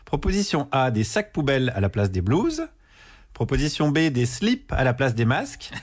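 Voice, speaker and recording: A man's voice: medium pitch, sing-song, moderate pace. Chill guy on funny radio show, chuckles while he reads quiz jokes. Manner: chuckling, easygoing. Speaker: radio comedian. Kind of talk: comic radio banter.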